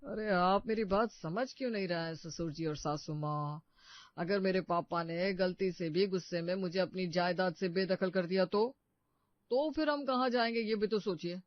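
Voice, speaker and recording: A woman voicing a smooth-talking, evasive young husband in a cartoon story, pleading and placating. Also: lowish voice, moderate pace, no echo, lo-fi sound, lightly acted.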